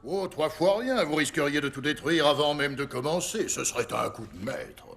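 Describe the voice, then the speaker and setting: A gloomy cartoon puppet sidekick, full of sarcasm. The voice creaks with gravel and slides widely in pitch while staying deadpan in attitude. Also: male voice, moderate pace. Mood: sarcastic. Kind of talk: French animated film dub.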